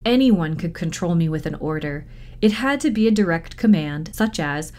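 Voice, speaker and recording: A woman with a slightly low voice reads a story to children. She narrates expressively, as a storytime reader would. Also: expressive, moderate pace, a little mellow.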